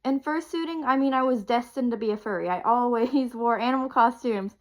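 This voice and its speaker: A woman's voice: on the high side, a little fast. A woman in her twenties getting over a cold, sniffly, chatting casually and rambling with ums and small laughs as a video creator. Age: 20s. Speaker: content creator.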